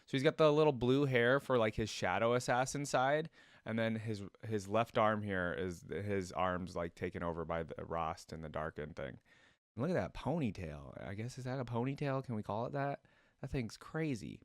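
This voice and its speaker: A man's voice: lowish voice, animated, normal speed, no room sound, studio quality. A man giving casual, informal reaction commentary and chatting to his viewers. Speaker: reaction video host. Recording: music behind the voice. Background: game trailer music.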